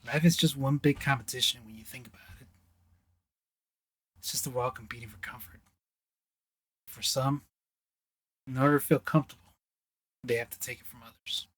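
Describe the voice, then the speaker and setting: A man's voice: mid-range voice, fairly even intonation, a bit bright, breathy edge. Grave, world-weary investigator played by a man. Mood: bitter, resolute. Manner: grave, deliberate, dramatic. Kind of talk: radio drama acting.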